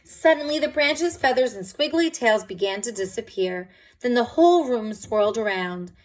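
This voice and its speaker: A woman's voice: a little high, lively intonation, normal speed, slight background noise, low-quality recording. A woman reading a picture book aloud to children, expressively and with dramatic emphasis.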